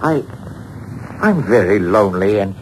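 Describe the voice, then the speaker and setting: A man's voice: lowish voice, lively intonation, unhurried, darkish tone, low-quality recording. An actor playing a timid, lonely old man who stammers and is overly polite, in an old radio drama. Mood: nervous. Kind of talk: 1940s radio acting. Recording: old radio broadcast with faint hiss.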